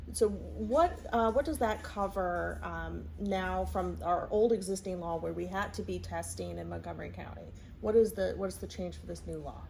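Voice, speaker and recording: A woman's voice: fairly low pitch, creaky, darkish tone, a little hiss or hum. A woman hosting a conversational interview, asking a guest practical questions. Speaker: interviewer, real estate professional.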